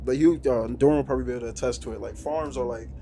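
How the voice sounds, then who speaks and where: A man's voice: mid-range voice, rapid-fire, background noise. A young man hosting a podcast interview, asking chatty, slangy questions. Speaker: podcast host.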